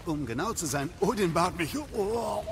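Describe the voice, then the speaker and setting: A man's voice: high voice, expressive, normal speed, vocal fry, a little hiss or hum. An actor playing a squeamish, apologetic craftsman who talks in hesitant, fussy broken phrases in an audio drama. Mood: queasy, apologetic. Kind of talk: dramatic audio play acting of a video game.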